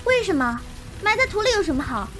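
A woman's voice: very high voice, big pitch swings, quick pace, over music. A woman playing a fierce, blunt young woman raised by wolves in a Chinese period audio drama. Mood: puzzled, blunt. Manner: blunt, questioning.

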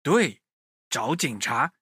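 Animated man telling children a story. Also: medium pitch, takes it slowly, husky, no room sound, studio quality. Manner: expressive, addresses young listeners directly.

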